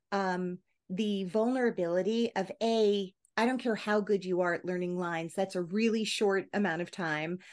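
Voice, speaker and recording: Woman interviewer with a medium-pitched voice. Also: a little mellow. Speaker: interview host. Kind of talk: conversational interviewing.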